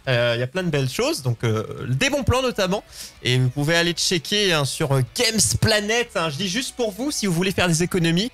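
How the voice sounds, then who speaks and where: A man with a fairly high voice presents a casual live show. He talks informally and jokingly, like a chatty host. Faint music plays behind him. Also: sing-song, brisk, fairly bright tone.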